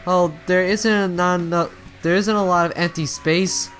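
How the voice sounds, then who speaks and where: A man's voice: high voice, fairly even intonation, moderate pace, a bit bright, faint music behind. A man giving casual let's play commentary, rambling and often stumbling and rephrasing as he goes. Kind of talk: casual video game commentary.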